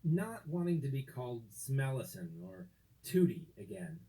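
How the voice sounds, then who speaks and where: A man narrating a children's story from a script, with dramatic, deliberate phrasing and expressive emphasis. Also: medium pitch, low-quality recording.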